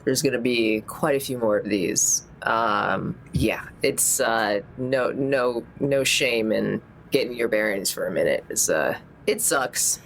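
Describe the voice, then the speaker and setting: A woman's voice: very deep voice, measured pace, few pauses, slight background noise. A woman playing a tabletop role-playing game, improvising casually in a hesitant, informal way.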